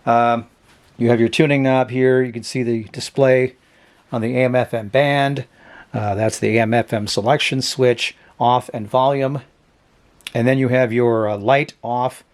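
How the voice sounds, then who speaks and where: A man with a medium-pitched voice hosts a casual product review. He talks off the cuff in a halting way, often hedging and qualifying what he says in an offhand manner. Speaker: host of a vintage radio review channel. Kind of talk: casual unscripted product demonstration.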